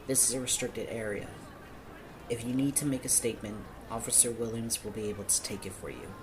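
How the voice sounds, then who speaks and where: A man's voice: on the low side, a little fast, noisy background, lightly acted. A man playing a nervous, awkward small-town police officer in an audio roleplay, hesitant and apologetic in manner. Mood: stern, guarded. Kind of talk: audio roleplay acting, one-sided dialogue.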